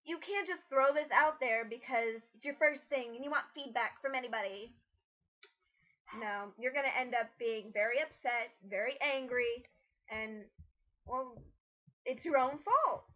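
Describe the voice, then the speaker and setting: High, bright-voiced woman, blunt and exasperated. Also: measured pace, muffled recording. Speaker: co-commentator on an amateur critique. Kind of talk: casual critical commentary.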